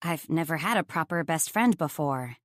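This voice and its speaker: A woman's voice: low voice, expressive, normal speed, dry recording, studio quality. A woman voicing an earnest, guarded young heroine in audiobook dialogue, sincere and a little unsure.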